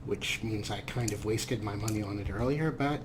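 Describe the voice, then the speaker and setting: A man's voice: lowish voice, normal speed. A man hosting a let's play with casual, playful game commentary, wordy and wry, with a theatrical streak. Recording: clear background noise, game ambience.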